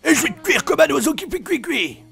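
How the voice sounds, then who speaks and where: A man's voice: very high-pitched, big pitch swings, fairly quick, creaky, fairly bright tone, faint music behind, voice pushed up, full character voice. A man voicing a dim-witted, blustering Wild West outlaw who taunts and bullies. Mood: taunting.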